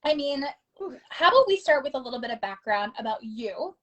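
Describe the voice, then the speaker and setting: High-voiced, effusive woman host. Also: sing-song, fairly quick, a bit bright. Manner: enthusiastic, effusive. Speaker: show host, interviewer. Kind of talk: casual interview chat.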